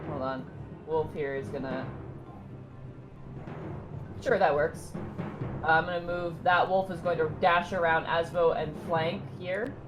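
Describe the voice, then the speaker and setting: A woman's voice: low voice, fairly even intonation, measured pace, long pauses. A woman talking casually as a player in a tabletop role-playing game, calling out moves and rolls. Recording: music playing behind the voice.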